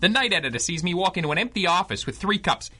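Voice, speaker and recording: An actor playing a middle-aged, wisecracking 1930s crime reporter with a slangy, wry delivery in a radio drama. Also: male voice, high-pitched, animated, fast, sharp tone, exaggerated voice. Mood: wry, animated.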